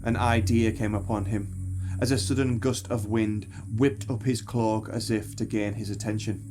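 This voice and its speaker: A man narrating a scripted dark fantasy audio drama in a grave, measured storytelling manner. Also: fairly low pitch, fairly even intonation. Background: music.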